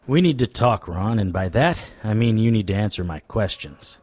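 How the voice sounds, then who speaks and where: A tough, wry private eye of the supernatural in a noir audio drama. His voice sits a little low and is recorded close and dry. He talks with deadpan, sardonic swagger. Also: moderate pace, slightly rough, dry recording. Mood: threatening. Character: wry, tough male supernatural private investigator, hard-boiled narrator.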